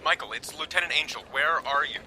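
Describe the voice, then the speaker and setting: An actor playing an urgent, businesslike young Army lieutenant on a phone call in an audio drama. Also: male voice, fairly high pitch, brisk, heavy background noise, natural voice.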